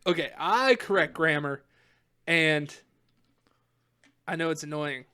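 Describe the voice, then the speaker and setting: A woman's voice: low-pitched, animated, slow pace, pushed and bright, smooth. A young woman co-hosting a podcast in casual chat, giggly, often second-guessing herself as she talks. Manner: giggly, self-questioning banter. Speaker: podcast co-host.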